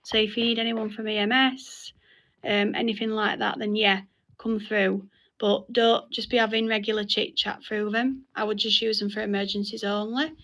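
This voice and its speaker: A woman's voice: medium pitch, flat delivery, normal speed, a bit bright, rough recording. A woman explaining and instructing in casual talk, leading a meeting in an online game voice chat. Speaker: senior EMS player leading a game meeting. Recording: faint background noise with clicks.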